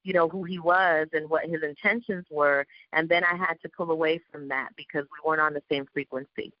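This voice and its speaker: A woman giving spiritual advice in conversation, calm and self-assured. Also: low voice, a little fast, dry recording, highs cut off. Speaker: spiritual guest.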